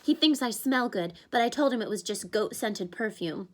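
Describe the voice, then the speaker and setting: A woman doing a frantic young satyr, high and shaky. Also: animated, normal speed, a little mellow, natural voice. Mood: pleading. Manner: frantic, pleading, stammering. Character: jittery young male satyr, panicky.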